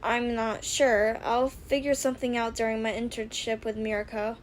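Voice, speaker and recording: A woman's voice: fairly high pitch, little pitch movement, moderate pace, lightly acted. A woman voicing a blunt, level-headed teenage frog-like girl hero in a fan audio drama, matter-of-fact in manner, with background noise on the recording. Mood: calm.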